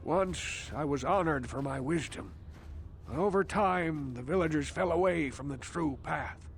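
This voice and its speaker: A man's voice: a little high, unhurried, vocal fry, slight background noise. A man voicing a hundred-year-old village outcast in a video game, a mystical, wary elder who speaks in formal, portentous questions. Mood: musing, sorrowful. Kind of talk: theatrical video game voice acting.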